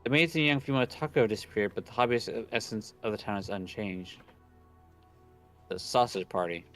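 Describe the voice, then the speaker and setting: A man's voice: fairly low pitch, fairly quick. A young man casually reading visual novel narration aloud and chatting, stumbling over words now and then. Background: soft background game music.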